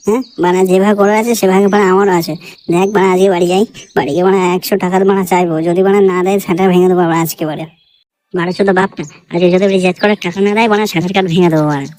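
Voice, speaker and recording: Woman acting fast-talking, foul-mouthed cartoon son. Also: medium pitch, a little mellow, natural voice. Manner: fast, ranting, crude swearing. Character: foul-mouthed, lovesick, penniless, hot-tempered young son. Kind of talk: comic cartoon dialogue.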